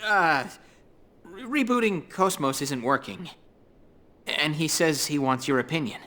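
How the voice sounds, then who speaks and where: A timid young lab assistant in a video game cutscene. He is flustered and hesitant, slow to get words out, and his pitch swings widely. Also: animated, pushed and bright. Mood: nervous, awkward. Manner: stammering, hesitant. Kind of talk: English dubbed video game cutscene acting.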